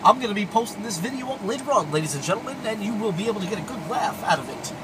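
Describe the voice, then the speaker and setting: A man's voice: high-pitched, lively intonation, racing, very noisy. A man hosting a trucker show, chatting casually on CB radio from inside a vehicle.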